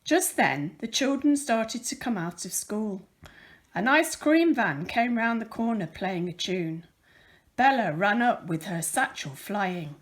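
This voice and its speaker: A woman reading a children's picture book aloud as a volunteer storyteller, with careful, expressive emphasis on the words. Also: mid-range voice, takes it slowly.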